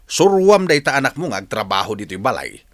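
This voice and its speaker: A man's voice: mid-range voice, sing-song, fairly quick, lightly acted. An actor playing a strict, grumbling farmer father who nags in exasperation in an Ilocano radio drama. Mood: exasperated. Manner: nagging, exasperated.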